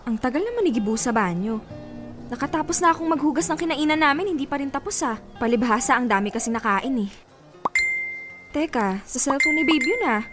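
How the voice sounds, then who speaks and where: A woman's voice: high voice, animated, brisk. A woman voicing a shy young woman in love who gets flustered and scolds playfully. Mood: bashful. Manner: bashful, laughs at teasing, scolds playfully. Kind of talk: radio drama acting. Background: background music.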